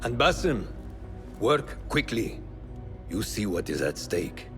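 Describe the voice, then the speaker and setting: A grave, cautious mentor. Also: male voice, lowish voice, very animated, heavily acted. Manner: measured, grave advice. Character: wise older male mentor, cautious. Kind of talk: video game cutscene acting. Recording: music playing behind.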